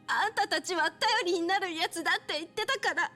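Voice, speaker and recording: A woman's voice: very high voice, very fast, over music. An actress playing a grieving, headstrong young woman in a Japanese video game drama, bitter yet vulnerable. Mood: anguished, tearful.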